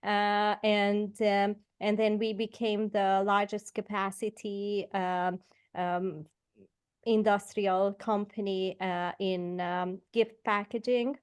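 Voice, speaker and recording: A woman's voice: medium pitch, level tone, slow, clean tone. A woman in her forties from Hungary with a Hungarian accent, a guest entrepreneur in a podcast interview, speaking with many fillers and restarts. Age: 40s.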